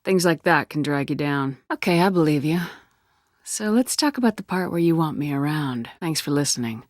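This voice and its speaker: Tough lady space commander from a video game, low firm voice, blunt and bossy, real dry close recording. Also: expressive, normal speed, no echo. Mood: blunt, commanding. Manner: assertive, curt, dry. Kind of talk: game dialogue, isolated voice lines.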